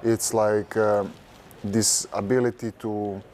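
A young man from Croatia speaks in a low, mellow voice, with Croatian as his first language. He talks haltingly, often repeating words as he hunts for the phrase. A lapel mic picks him up in a big indoor basketball arena with faint background hiss. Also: flat delivery. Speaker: basketball player, interviewee. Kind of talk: casual interview.

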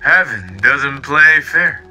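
A man's voice: mid-range voice, expressive, slow pace, bright, forceful tone, exaggerated voice, rough recording. A man voicing a smug male radio demon in a fan comic dub, sly and mocking. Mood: scheming. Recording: faint music behind.

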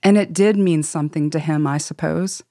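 A woman reads scripted podcast fiction in a deep, mellow voice. The sound is very dry, free of room echo. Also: moderate pace.

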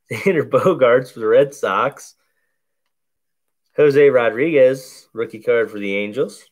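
Slow, bassy man opening baseball cards. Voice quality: deep, bassy voice. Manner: slow, laid-back play-by-play of each card. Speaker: card break host. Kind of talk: casual card-opening commentary. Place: indoors at a table covered in cards.

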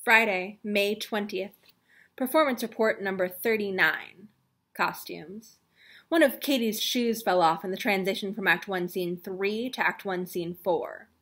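An ominous, solemn storyteller acted by a young woman delivers a mock-dramatic reading. The delivery is deliberate and grave, as if telling a suspense tale in an online video. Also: medium pitch, a bit bright.

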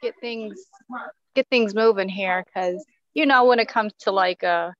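A woman's voice: mid-range voice, a little slow, a bit bright, no room sound. Young American woman, muffled call audio. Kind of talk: casual chat. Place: indoor office, on a video call. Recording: dull video-call audio.

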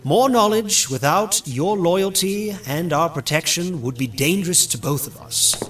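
A man's voice: a little high, lively intonation, a little slow, fairly bright tone, a little hiss or hum. A man voicing a suave, secretive animal leader in a cartoon fandub, speaking formally and wordily with polite menace. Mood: guarded, courteous. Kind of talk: dramatic comic fandub dialogue.

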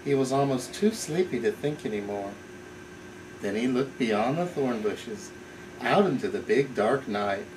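A middle-aged man reads a picture book at bedtime. His medium-pitched voice moves in a lively way, with clear room noise on a home camcorder recording. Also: measured pace. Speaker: parent reading to a baby. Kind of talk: bedtime storybook reading. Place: small living room, indoors. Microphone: camcorder a few feet away.

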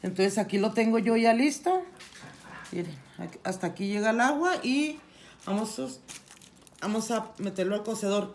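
A middle-aged woman explaining a recipe step by step in her home kitchen, unhurried and plain, with frequent restarts. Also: medium pitch, slight background noise. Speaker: home cooking channel host. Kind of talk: casual cooking tutorial.

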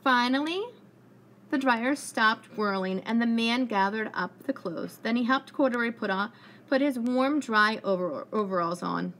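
A woman preschool teacher reading a picture book aloud to young children with expressive storytelling. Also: fairly high pitch. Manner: expressive storytelling, pausing to ask the children questions. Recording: clearly noisy room recording.

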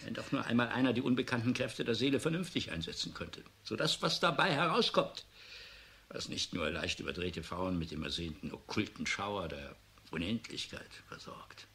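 An actor playing a disillusioned, weary professor in a radio drama, musing and sardonic. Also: male voice, fairly low pitch, animated, gravelly, pushed and bright, heavily acted. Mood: resigned. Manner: musing, sardonic, halting and emphatic by turns. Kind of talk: German radio drama acting.

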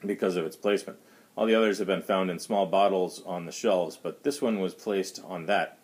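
A man reading aloud the part of an earnest, methodical starship science officer who talks in a measured, formal way. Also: sits low, a little mellow, close to an everyday voice. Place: small home study, indoors.

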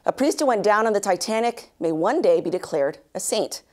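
A woman in her thirties anchoring a news bulletin, reading a script with measured, even delivery in a studio. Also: mid-range voice. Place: studio news set, indoors.

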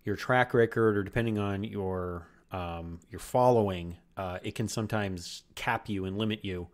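A man in his thirties hosts a podcast interview over a headset and desk mic on a video call. His voice sits a bit low, and he rambles loosely, filling pauses as he goes. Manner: rambling, many fillers, loose and friendly. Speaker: podcast host. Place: room at home.

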